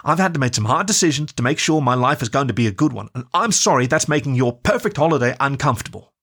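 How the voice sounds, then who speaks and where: A woman's voice: very deep voice, expressive, quick pace, a bit bright, husky, voice pushed up, heavily acted. A man voicing an anxious, hurt young female dog who speaks, pleading and frustrated. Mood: upset.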